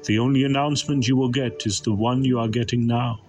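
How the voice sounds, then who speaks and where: A man delivering a dramatic scripted sermon as a preacher, reading scripture in a solemn, emphatic and deliberate manner. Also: mid-range voice, fairly even intonation, a little mellow, soft background music. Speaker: preacher narrator.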